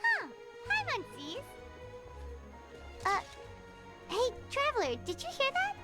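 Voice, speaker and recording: Extremely high, chirpy fairy companion. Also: female voice, wildly swooping pitch, slow, over loud music, full character voice. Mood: puzzled. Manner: refers to herself in third person, chirpy. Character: small floating childlike fairy companion, boastful, protective, excitable. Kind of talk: video game voice acting.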